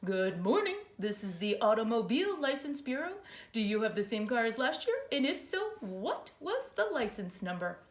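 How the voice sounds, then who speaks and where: A woman's voice: on the high side, very animated, normal speed. A woman voicing a businesslike office clerk on the phone, recorded in an echoey room.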